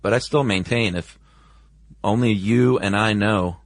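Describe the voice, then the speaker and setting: Low-voiced man chatting as podcast co-host. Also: measured pace, dry recording. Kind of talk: casual chat.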